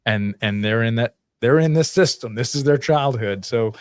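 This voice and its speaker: A man's voice: medium pitch, moderate pace, smooth, no echo, clean studio sound. A man hosting a podcast, interviewing his guest in a casual, conversational style.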